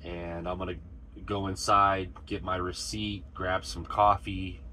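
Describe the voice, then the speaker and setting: Brief: deep-voiced American trucker, relaxed rambling vlog talk, bright forceful tone. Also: male voice, a little slow, slight background noise. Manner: relaxed, rambling, talks to camera while cooking. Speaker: truck driver vlogger. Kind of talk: casual vlog chat while cooking. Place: inside a truck cab at a truck stop.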